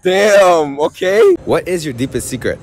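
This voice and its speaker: A young man asking passers-by quick questions outdoors, teasing and playful, into a handheld mic. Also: on the high side, huge pitch swings, a little mellow, a little hiss or hum. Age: young adult. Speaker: street interviewer. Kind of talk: casual street interview questions. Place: outdoors on a beach promenade and street.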